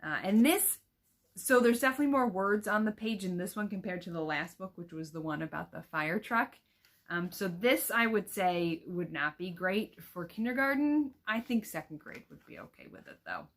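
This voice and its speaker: A woman's voice: medium pitch, lively intonation, normal speed, a bit bright. A woman teacher giving an instructional talk on reading aloud, explaining things and often checking in with a short question. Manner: explanatory, checks understanding with "right?".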